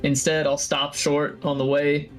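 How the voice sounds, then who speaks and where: Guy w kinda high voice playing tabletop, casual sweary, hard on himself, faint music behind. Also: monotone, measured pace. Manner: self-deprecating. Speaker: player in a tabletop roleplaying game. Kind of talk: casual game chat with swearing.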